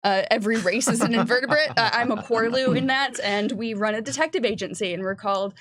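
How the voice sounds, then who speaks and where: A woman speaking off the cuff as a panelist on a game discussion stream, halting a little as she thinks aloud. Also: on the high side, a crowd in the background. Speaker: panelist on a tabletop game livestream.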